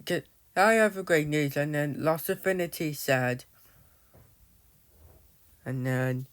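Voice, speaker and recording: A man's voice: on the high side, slow pace, smooth. A man giving casual, rambling commentary on his game, often losing his thread and calling out to viewers as "guys". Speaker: gamer narrating his play.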